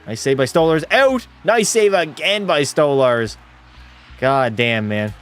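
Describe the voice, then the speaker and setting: A male streamer giving casual play-by-play of a hockey game and answering his chat in a relaxed way. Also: on the high side, measured pace, faint music behind. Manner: relaxed, drops into terse hockey call mode.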